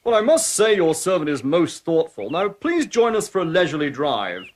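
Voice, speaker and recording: A man's voice: fairly high pitch, big pitch swings, normal speed. A man voicing a genial, pompous king with a gracious, exclamatory manner in a dubbed cartoon fairy tale. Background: music.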